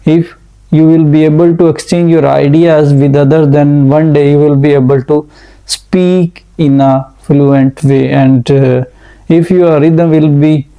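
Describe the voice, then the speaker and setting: Slow gravelly man teaching. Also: on the high side, mellow, rough recording. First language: Urdu. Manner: slow, hesitant, many fillers. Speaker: English teacher. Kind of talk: motivational English-speaking lesson.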